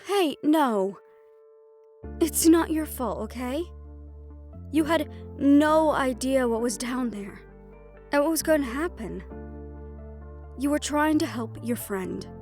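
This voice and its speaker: A woman acts out a knowledgeable occult mentor in an audio drama. Her voice sits fairly high, reassuring yet firm as she explains. Music plays behind her. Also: expressive, slow pace, long pauses, a little mellow. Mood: urgent reassurance. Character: protective, knowledgeable female occult investigator and mentor. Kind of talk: audio roleplay acting.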